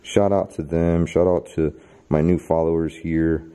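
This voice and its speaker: A man in his thirties hosting a vlog, chatting to the camera and reading off viewer names while exploring a dark abandoned stadium at night. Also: very deep voice, fairly even intonation, measured pace, smooth. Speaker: YouTube host. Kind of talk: casual vlog narration. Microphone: handheld camera held close.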